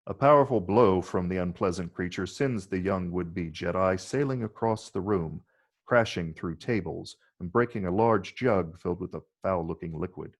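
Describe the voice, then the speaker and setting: A man with a gravelly, husky voice reading stage directions as scripted narration. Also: sits low, moderate pace.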